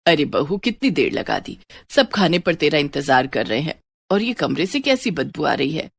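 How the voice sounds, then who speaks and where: A woman's voice: sits low, big pitch swings, moderate pace, creaky, breathy edge, pitched below the performer's own voice, full character voice. A woman voicing an older mother-in-law in a story, caring, questioning and a little bossy. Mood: impatient. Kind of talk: moral story voice acting.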